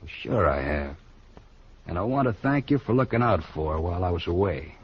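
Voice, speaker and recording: Cocky young cowboy, low husky voice, needling, 1950s radio western, dull sound. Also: male voice, sing-song, moderate pace, exaggerated voice. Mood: smug, taunting. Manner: smug, needling, defiant. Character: cocky, taunting young half-wild cowboy rival. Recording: dull old radio recording, faint music.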